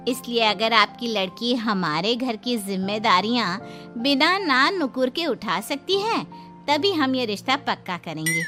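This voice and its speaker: A woman playing a middle-aged mother-in-law in charge of a big cartoon family, measured and authoritative. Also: a little high, sharp tone. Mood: firm. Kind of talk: Hindi cartoon dialogue.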